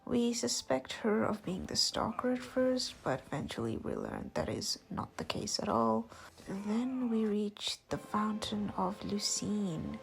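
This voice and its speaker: A woman narrates a casual game story recap at a slow, unhurried pace. Her voice sits at a middle pitch and has a noticeable vocal fry. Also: expressive, rough recording.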